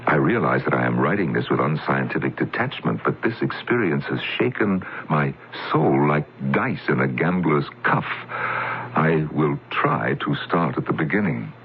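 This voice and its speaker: A middle-aged university scientist who is shaken by what he has seen. He speaks in a very deep, gravelly voice with a lively pitch and a measured, reflective manner. It comes through a tinny, phone-like old radio broadcast with faint music underneath. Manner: measured, reflective journal narration. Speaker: university doctor. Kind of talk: 1970s radio drama acting.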